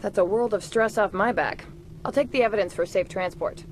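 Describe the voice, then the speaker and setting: A woman's voice: medium pitch, brisk, a little hiss or hum, natural voice. A woman playing a sardonic, businesslike undercover corporate investigator in a video game. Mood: relieved, wry. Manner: wry, businesslike.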